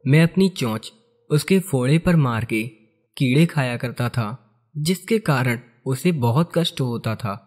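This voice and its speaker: A man playing a calm ascetic sage in a cartoon story, with a husky voice and measured manner. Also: mid-range voice. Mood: calm, reflective. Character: calm ascetic sage explaining past-life karma. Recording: music behind the voice.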